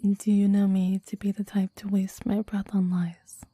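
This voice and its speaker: A woman's voice: mid-range voice, little pitch movement, normal speed, dark tone, slightly rough, no echo, lightly acted. A woman playing a clingy, devoted demon lover in an intimate ASMR roleplay, whispering breathily and reverently in formal, old-fashioned phrasing, close to the microphone. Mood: earnest, insistent. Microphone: close microphone, dry.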